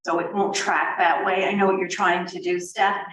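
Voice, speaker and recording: A woman's voice: on the low side, flat delivery, moderate pace, fairly bright tone. Patient woman explaining in an echoey room. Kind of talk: explaining at a public meeting. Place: large meeting room. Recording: echoey, distant.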